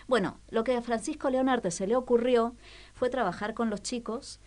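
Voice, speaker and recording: A woman's voice: on the high side, moderate pace, darkish tone. A woman with a Rioplatense Argentine accent presenting on a radio show in a casual, explanatory way, often checking in with listeners. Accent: Rioplatense Argentine Spanish.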